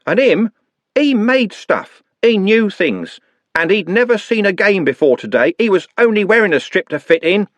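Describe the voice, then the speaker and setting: Man voicing a young street lad, high-pitched, loud and scornful, dry sound. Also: sing-song, quick pace, hardly any pauses, no room sound. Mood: furious. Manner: shouting, scornful.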